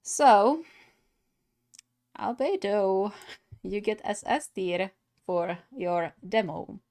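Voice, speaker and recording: A woman's voice: medium pitch, lively intonation, drawn-out words, darkish tone. A woman giving casual reaction commentary as host of a gaming video, thinking aloud hesitantly.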